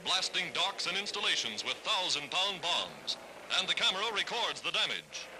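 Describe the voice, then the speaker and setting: High-pitched 1940s American newsreel announcer, male. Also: sing-song, moderate pace, background noise. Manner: declamatory, emphatic, dramatic delivery. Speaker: newsreel narrator. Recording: vintage 1940s newsreel soundtrack.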